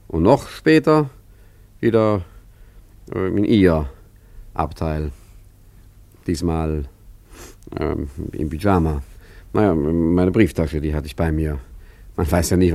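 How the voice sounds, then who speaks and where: A man's voice: sits low, measured pace, pauses often, dark tone, no echo. An actor playing an unflappable, rambling male traveller in a German radio play, hesitant and wryly correcting himself. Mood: wry recounting. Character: rambling, unflappable, tipsy male traveller recounting his misadventure. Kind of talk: German radio play acting, chatty first-person narration.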